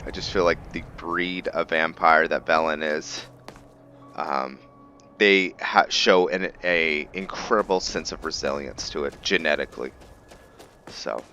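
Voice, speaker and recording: A man with a low voice narrates his own gameplay in a casual way. His delivery is slow and halting, as if thinking aloud, and his tone has a bright, forceful edge. Some noise sits behind the voice. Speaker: video game streamer, let's-play host. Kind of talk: casual roleplay gameplay commentary.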